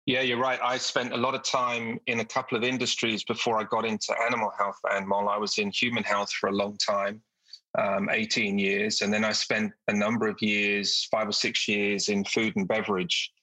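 A middle-aged British man from the UK, a company executive speaking as a podcast guest, measured with frequent hesitations. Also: on the low side, flat delivery, sharp tone, no echo, poor audio. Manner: measured, with frequent ums and uhs. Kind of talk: podcast interview.

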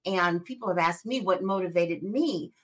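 A woman in her sixties hosting a podcast, conversational and animated, often saying "you know". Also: lowish voice, moderate pace. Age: 60s. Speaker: podcast host. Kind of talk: casual interview chat.

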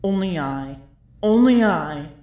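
A grim prophetic figure played by a woman from a dramatic story reading. The delivery is slow and incantatory, bitter and commanding, in short dark warnings. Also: lowish voice, expressive, echoey room. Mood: ominous, bitter. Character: ominous, bitter prophetic voice warning a fellow prisoner.

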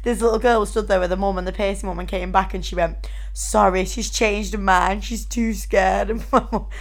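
A young woman with a husky voice, co-hosting a casual podcast and telling stories with a laugh. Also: medium pitch, fairly even intonation, brisk. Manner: chatty storyteller, laughs often. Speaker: podcast co-host. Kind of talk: casual chat.

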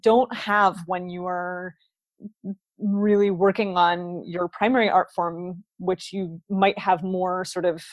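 A woman's voice: lowish voice, little pitch movement, takes it slowly, no echo. Reflective female podcast host; dry, close recording. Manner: thoughtful, many fillers. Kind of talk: casual interview.

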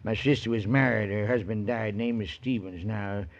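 An actor playing a lonely, unschooled mountain hermit in a 1940s radio drama, with an American accent and folksy, ungrammatical speech. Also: male voice, lowish voice, steady tone, moderate pace, lightly acted. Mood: slow, matter-of-fact. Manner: folksy rustic speech with poor grammar. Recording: muffled, hissy 1940s radio recording.

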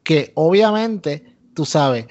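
Emphatic higher-voiced Puerto Rican man, lo-fi. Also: animated, slow pace, a little mellow. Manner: emphatic, repeats himself, mixes English into Spanish. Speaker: wrestling podcast co-host. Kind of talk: opinionated casual podcast commentary. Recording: dull lo-fi audio.

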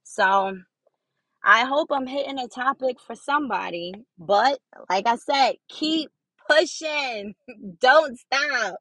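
A young woman with a high voice hosting her own podcast, talking casually and repeating phrases for emphasis as she encourages listeners. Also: expressive, slow pace, a bit bright, no room sound, poor audio. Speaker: podcast host. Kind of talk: casual motivational monologue.

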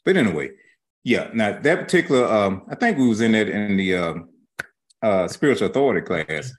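A man with a fairly low voice teaches scripture informally. He moves in halting, broken phrases and uses folksy, colloquial grammar, like a teacher explaining things off the cuff. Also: big pitch swings. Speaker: religious teacher.

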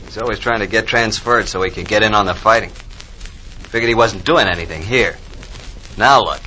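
Bright-voiced, earnest WWII soldier. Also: male voice, on the low side, normal speed, slight background noise, low-quality recording. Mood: somber, matter-of-fact. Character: soldiers of a WWII army, earnest, plain-spoken young men. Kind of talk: 1940s radio drama acting. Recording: old 1940s radio broadcast recording.

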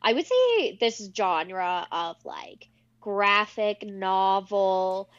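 A woman's voice: medium pitch, animated, very slow, lo-fi sound. A young woman co-hosting a podcast in a laid-back, jokey way with lots of filler words. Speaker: podcast co-host. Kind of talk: casual chat.

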